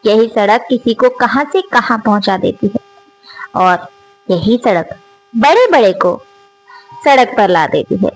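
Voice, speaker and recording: A woman telling a reflective moral story in a slow, earnest manner, rising on rhetorical questions as the channel's storyteller. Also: a little high, rough recording.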